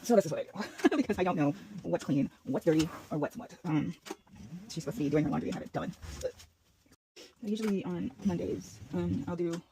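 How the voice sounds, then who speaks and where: A woman in her thirties with a hoarse voice, rambling casually through a day-in-the-life vlog at home, with many ums and restarts. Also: on the low side, a little fast, long pauses, mellow, slight background noise, rough recording. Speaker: vlogger. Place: at home, kitchen and house.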